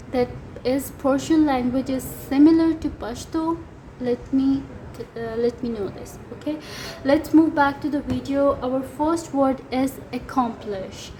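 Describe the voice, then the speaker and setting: Pakistani woman with a high voice, Urdu native, teaching casually and pausing on fillers, with faint noise behind. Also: warm tone, low-quality recording. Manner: hesitant, many fillers. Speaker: language teacher. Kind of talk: casual language lesson.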